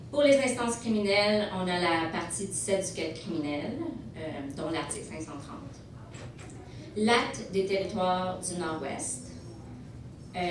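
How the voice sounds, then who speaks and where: A woman delivers a prepared legal talk, speaking in a fairly low voice and pausing to restart her sentences. She is heard from well back in a large, echoing hall. Steady background noise sits under her voice. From Montreal, Quebec. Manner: measured, with frequent hesitations and restarts. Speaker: lawyer presenting at a conference. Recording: echoey hall, distant mic, background noise.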